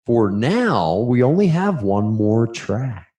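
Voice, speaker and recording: A man's voice: medium pitch, big pitch swings, slow, mellow. A man co-hosting a podcast, chatting casually about his own music. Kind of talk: casual chat.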